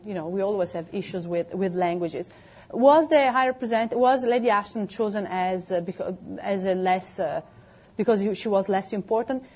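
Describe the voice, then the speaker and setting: A woman's voice: mid-range voice, a little mellow, husky. A woman panelist answering audience questions in an explanatory way. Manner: explanatory, with restarts.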